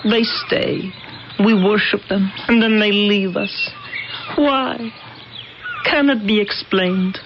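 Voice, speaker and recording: A woman's voice: mid-range voice, highs cut off. A woman acting in an early 1980s radio drama as a devoted, solemn island worshipper who speaks formally and reverently. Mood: reverent, puzzled. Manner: formal, reverent, deliberate, stilted phrasing. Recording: noisy, band-limited old radio broadcast recording.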